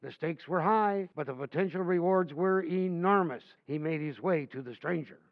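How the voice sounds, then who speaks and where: Man doing an older author-narrator, measured, wry, higher voice, tinny phone-line sound. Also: lightly acted. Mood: steady. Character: older male author narrating his tale. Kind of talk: old-time radio play narration.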